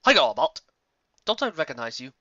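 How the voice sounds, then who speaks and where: A narrator voicing a smug male monster army officer who bullies in a loud, jeering way for an audio story. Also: mid-range voice, sing-song, fairly quick, no room sound, highs cut off. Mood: mocking, smug.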